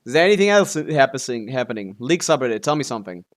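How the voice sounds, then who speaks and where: A man's voice: medium pitch, big pitch swings, quick pace. A man chatting casually as he livestreams a video game, rambling and complaining with plenty of swearing. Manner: profane, complaining. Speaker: live streamer playing a video game. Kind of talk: casual rambling gaming chat with viewers.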